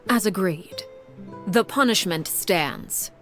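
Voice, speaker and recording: An actress voicing a cold, commanding female leader in a video game, speaking in a measured, formal, menacing way. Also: mid-range voice, expressive, fairly bright tone. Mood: cold, composed. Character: cold, commanding woman, head of a secretive organization. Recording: game audio with faint music underneath.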